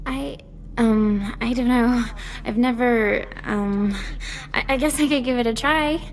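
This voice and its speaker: A flustered young servant in a video game. The voice is fairly high, hesitant and stammering, easily thrown off balance. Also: female voice, unhurried. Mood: nervous. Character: nervous, flustered young female servant, smitten. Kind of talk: video game dialogue acting.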